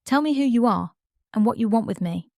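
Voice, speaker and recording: Female narrator as bold, wary woman artist in storybook romance. Questioning, direct, slightly high pitch. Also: expressive, a little fast, vocal fry, a little mellow, dry recording, studio quality. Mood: bold, demanding. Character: skeptical, bold young woman artist, wary but fascinated.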